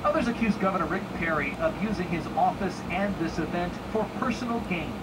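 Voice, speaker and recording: A man's voice: high-pitched, expressive, brisk. A middle-aged American man giving a scripted report as a TV news reporter, heard off a noisy television. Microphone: lapel mic. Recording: noisy TV played aloud, recorded off screen.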